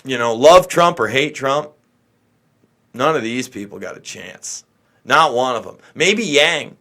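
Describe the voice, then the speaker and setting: A man's voice: mid-range voice, animated, a little slow, pushed and bright. An American man doing off-the-cuff livestream political commentary, talking to viewers in an opinionated, exasperated, emphatic manner.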